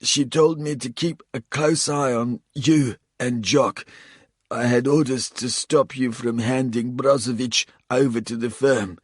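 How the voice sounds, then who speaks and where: A man voicing a wounded, defiant South African male traitor, pushing his voice higher and spitting the words out. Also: fairly even intonation, unhurried, husky, no echo, clean studio sound. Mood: desperate.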